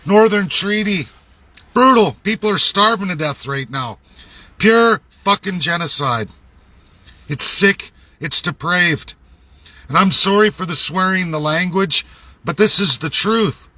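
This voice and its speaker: Male political vlogger, Canadian accent, high forceful voice, emphatic and indignant, unscripted, swears freely. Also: expressive, a little slow, no room sound. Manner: blunt, indignant, emphatic, profane. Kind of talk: unscripted political rant to camera. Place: laundromat.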